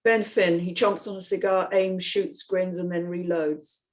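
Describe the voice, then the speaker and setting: A woman's voice: lowish voice, fairly even intonation, a little slow. A woman narrating the action lines of a screenplay as host of a script reading.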